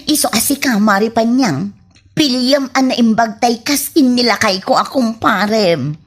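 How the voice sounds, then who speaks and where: Woman acting high, husky doting wife, Ilocano Filipino. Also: measured pace. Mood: boastful, smitten. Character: doting, spoiled, clingy wife. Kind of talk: Ilocano comedy radio drama acting.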